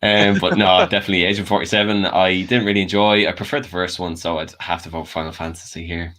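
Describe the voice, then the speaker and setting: Male panel guest, deep voice, casual conversational film chat. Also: level tone, fast, a bit bright.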